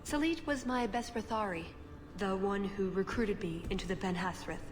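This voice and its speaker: A woman acting as an earnest, devout spy in a video game, speaking in a measured, solemn way. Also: mid-range voice, noisy background, natural voice. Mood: confiding. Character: earnest female elven spy agent, devout and guilt-tinged. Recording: game dialogue in reverberant hall, music behind.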